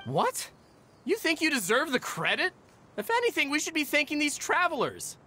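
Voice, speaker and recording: A man's voice: very high voice, huge pitch swings, moderate pace, bright, forceful tone, exaggerated voice. An actor playing an earnest, testy young male caretaker in a video game, fussy and articulate, with faint noise behind.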